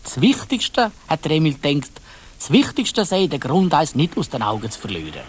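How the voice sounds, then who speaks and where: A man's voice: on the high side, wildly swooping pitch, moderate pace, slight background noise. A man with a Swiss German accent narrating a dramatised children's story, addressing young listeners directly.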